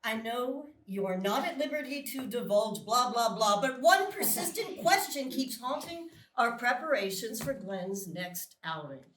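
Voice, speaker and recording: An actress playing a blunt, probing female military colonel at a live screenplay reading, measured and pointed. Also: a little high, big pitch swings, fairly bright tone. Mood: pointed, impatient. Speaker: actor in a live table read. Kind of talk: dramatic script reading. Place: small live theatre stage. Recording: echoey theatre, far from the mic.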